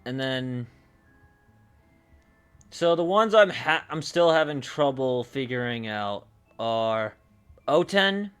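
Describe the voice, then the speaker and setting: A man talks casually on a livestream, thinking out loud at a slow pace. His voice is clear and smooth, with halting phrases that often trail off. Faint music plays behind him. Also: mid-range voice, animated. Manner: halting, trailing off mid-thought. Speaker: streamer.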